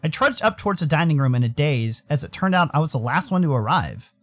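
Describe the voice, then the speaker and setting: An American man narrates a visual novel in the first person. His voice sits a bit high and moves around a lot in pitch, dramatising the story over a music bed. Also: very animated, quick pace. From Arizona, United States. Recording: music behind the voice.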